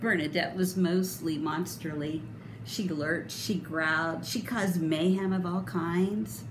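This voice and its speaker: A woman in her fifties reading aloud to children expressively, with deliberate, dramatic emphasis. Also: lowish voice, steady tone. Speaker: storybook reader. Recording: roomy, noticeable background noise.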